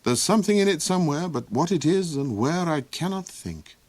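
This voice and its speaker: A man's voice: on the high side, animated, fairly quick, a little mellow, voice pushed up. A man voicing a young minstrel prince who talks and sings in a jaunty, playful way. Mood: pondering.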